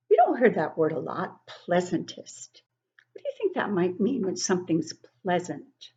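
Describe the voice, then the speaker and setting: An older woman giving an interactive read-aloud lesson for young children, encouraging and expressive, asking questions and explaining things simply. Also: medium pitch, breathy edge, highs cut off. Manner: encouraging, asks questions and pauses to praise answers. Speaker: children's book club teacher reading poems aloud.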